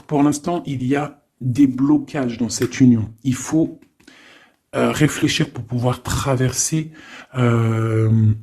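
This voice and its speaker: A man giving a casual, improvised tarot reading, thinking aloud in an informal, halting way with frequent little tag questions. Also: medium pitch, mellow, a little hiss or hum. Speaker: tarot card reader.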